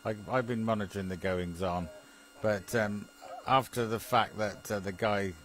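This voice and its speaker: A British man with a fairly low voice talks casually as a hobbyist among friends. He hesitates and repeats himself in an easygoing technical chat, with a low mains hum on the recording. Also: fairly even intonation, moderate pace, a bit bright. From Britain. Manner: hesitant, chuckling, repeats phrases. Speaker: amateur radio enthusiast.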